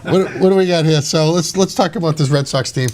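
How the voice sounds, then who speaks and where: A man's voice: fairly high pitch, darkish tone, a little hiss or hum. A man hosting a casual sports chat, brash and joking. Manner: brash, joking, fast-talking. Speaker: show host.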